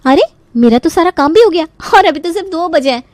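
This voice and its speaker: A woman playing a carefree, overconfident young daughter-in-law in an animated story, with a playful, breezy, cheeky manner. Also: very high-pitched, fairly quick, dry recording, voice pushed up. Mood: gleeful. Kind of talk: Hindi animated story voice acting.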